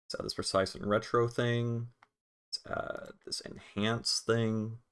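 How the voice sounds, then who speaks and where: A man hosting a casual software tutorial on music production, talking in an offhand, informal way and swearing casually. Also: mid-range voice, flat delivery, slow pace, clean tone.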